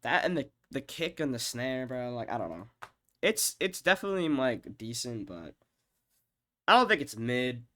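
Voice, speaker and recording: A congested young man with a nasal voice, his nose clearly stuffed up from being sick. He rambles casually in a mid-pitched voice, swearing and filling gaps with "um" and "like". He often lets his sentences fade into a mumble. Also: sing-song, moderate pace. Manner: rambling, profane, many fillers, trails off quietly. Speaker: music producer ranking his own songs. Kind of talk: rambling casual screen-share commentary.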